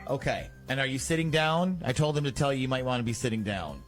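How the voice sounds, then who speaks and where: A man's voice: mid-range voice, animated, fast, soft background music. A man hosting a morning radio show, running a prank call in a casual, teasing way.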